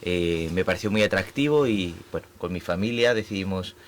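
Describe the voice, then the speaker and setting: A man's voice: deep voice, normal speed, slight background noise. A man from Spain with a Spanish accent, a professor speaking as a guest in a radio interview, with many fillers and restarts. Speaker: guest, urban planning professor. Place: radio studio.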